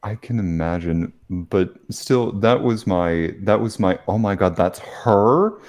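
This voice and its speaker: A man co-hosting a casual chat, talking with gushing, fan-like enthusiasm and halting restarts. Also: low voice, animated, a little mellow. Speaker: podcast co-host.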